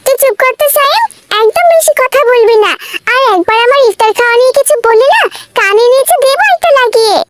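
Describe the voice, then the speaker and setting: A woman's voice: extremely high pitch, lively intonation, fairly quick, no echo, pitched above the performer's own voice. A woman voicing a greedy, pleading girl who loves food, wheedling and pouty, in a children's moral cartoon. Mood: annoyed, threatening.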